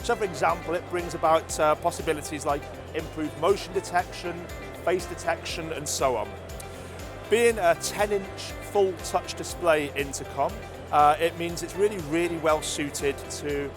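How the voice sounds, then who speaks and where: A man explaining a product conversationally as an interviewee, measured and often hesitating with ums and filler phrases. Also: fairly high pitch, music in the background.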